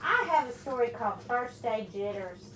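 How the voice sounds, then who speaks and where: High, animated woman storyteller. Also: normal speed. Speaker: storyteller reading to children. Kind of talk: animated picture-book read-aloud with questions to children. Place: echoing room with children present. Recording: roomy, distant mic with background noise.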